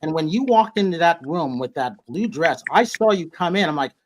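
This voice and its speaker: A man's voice: on the high side, expressive, normal speed, a little hiss or hum. Rambling man hosting an interview. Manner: rambling, meandering questions. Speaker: host. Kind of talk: casual interview.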